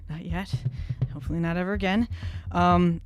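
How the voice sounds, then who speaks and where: A man playing a tabletop role-playing game, casually improvising his character's actions and thinking aloud in a halting way. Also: sits high, steady tone, clear, resonant tone, dry recording, highs cut off.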